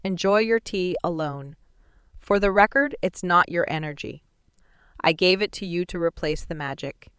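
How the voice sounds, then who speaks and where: A woman's voice: fairly low pitch, moderate pace, fairly bright tone, smooth, dry recording, clean studio sound, lightly acted. A woman voicing a sneering, amused sorcerer father. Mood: cold. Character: cruel, mocking male sorcerer father. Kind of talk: audiobook dialogue reading.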